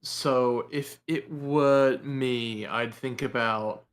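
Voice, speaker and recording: A man with a mid-pitched voice talks casually as a guest writer. He takes his time, thinking out loud and building his ideas through rhetorical questions. The delivery is slow and conversational. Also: fairly even intonation. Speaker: guest writer and content creator. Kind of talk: casual discussion.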